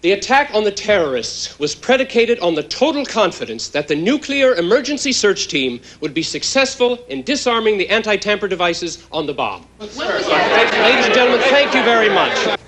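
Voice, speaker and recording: Male, high animated voice, defensive official at a press briefing in a TV drama, loud background noise. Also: normal speed. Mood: defensive, firm. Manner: formal, emphatic. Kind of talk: press conference statement. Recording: very noisy press conference news footage.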